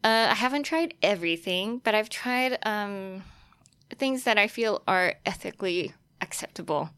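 A woman's voice: mid-range voice, animated, normal speed, a bit bright, clean tone, no echo. A young adult woman, chatting casually as a guest in an interview. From Australia, born in Taiwan. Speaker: podcast guest, fashion influencer.

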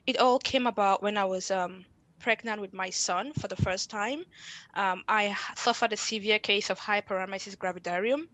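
A woman's voice: medium pitch, fairly bright tone, no echo, poor audio. Woman from Cameroon, closely recorded podcast guest. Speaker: podcast guest, founder and management consultant. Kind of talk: conversational interview answers.

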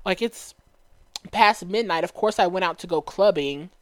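A woman's voice: fairly low pitch, normal speed, no room sound. A young woman from Dallas co-hosting a podcast, chatting casually with a light, valley-girl sounding voice and lots of "like" fillers. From Dallas, Texas. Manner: chatty, many "like" fillers, laughs easily. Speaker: podcast co-host. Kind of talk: casual chat.

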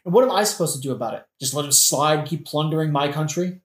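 A woman's voice: sits low, fast, natural voice. Roomy echo, woman acting as sardonic young prince, theatrical, melodramatic, comedic audio play.

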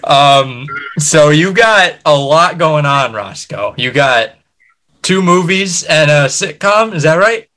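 A male podcast host with a bright, forward tone. He chats in an easygoing, friendly manner, tossing questions to his guest. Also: fairly high pitch, animated, slow pace, rough recording. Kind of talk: casual podcast chat.

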